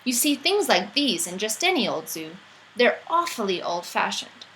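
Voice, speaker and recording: A woman's voice: mid-range voice, big pitch swings, normal speed, noisy background, lightly acted. A woman voicing an eager, boastful, imaginative young boy in a storybook, with emphatic, sing-song rhyming delivery. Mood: eager, scheming.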